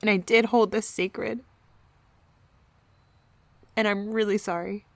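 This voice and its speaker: A woman's voice: medium pitch, steady tone, takes it slowly, gravelly, no room sound. An actress playing an embarrassed, vulnerable young alcoholic woman, confiding hesitantly in an audio drama monologue. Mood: hurt, embarrassed. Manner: hesitant, confessional.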